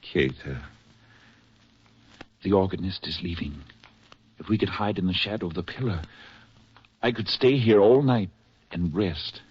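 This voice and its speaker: An actor playing an exhausted, lovelorn spy on the run in a 1940s radio drama, with a halting, earnest delivery and a husky voice. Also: male voice, deep voice, heavily acted. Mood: hushed, urgent. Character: hunted English spy, exhausted, tender, in love. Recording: muffled, husky-sounding 1940s radio recording.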